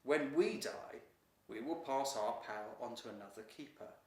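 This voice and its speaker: A man's voice: mid-range voice, moderate pace, lightly acted. A man voicing a loyal guardian lion in a storybook, speaking formally and with deference. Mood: solemn, deferential. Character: loyal, dutiful male guardian lion servant of emperor. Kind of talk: storybook character dialogue. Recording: roomy echo, distant mic.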